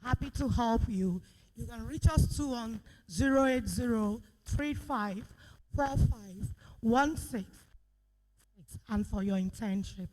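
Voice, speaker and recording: A woman gives an event presentation in a fairly high voice. She speaks slowly, with frequent pauses and self-corrections. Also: dark tone, low-quality recording. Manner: halting, with frequent pauses and restarts. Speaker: company representative presenting.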